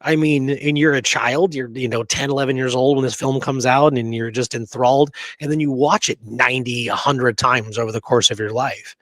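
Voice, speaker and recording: A man's voice: mid-range voice, steady tone, normal speed, few pauses, fairly bright tone, dry recording. A man co-hosting a history talk show, chatting casually and emphatically, often checking that his listener agrees. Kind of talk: casual chat about Western history and films.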